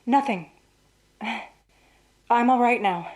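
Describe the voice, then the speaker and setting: Lady doing a scared wife in old radio play, highish voice, desperate, urgent, stammery. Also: takes it slowly, darkish tone. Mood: shaken, subdued. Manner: breathless urgency, hesitant stammering. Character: frightened, desperate wife fearing for her life. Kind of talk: old-time radio melodrama acting.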